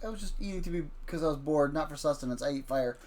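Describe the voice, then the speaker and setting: A man's voice: fairly high pitch, fast, darkish tone, natural voice. A man voicing a laid-back fire-powered villain who talks like a casual bro. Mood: offhand. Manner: easygoing, offhand.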